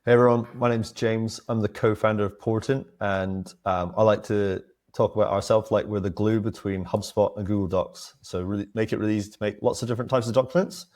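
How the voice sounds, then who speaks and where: A man from Australia, a webinar guest, sounding croaky in the early morning, friendly and quick to laugh, speaking over a video call. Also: on the low side, steady tone, darkish tone. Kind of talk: casual webinar chat.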